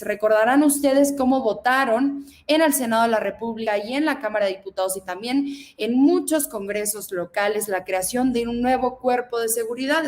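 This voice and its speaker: Emphatic young Mexican woman, fairly high. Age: 20s. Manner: emphatic, oratorical, addresses the audience. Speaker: federal deputy guest. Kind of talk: political interview, speech-like.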